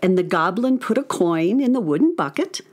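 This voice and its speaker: A woman's voice: mid-range voice, animated, moderate pace, darkish tone. A woman narrating a scripted story for children.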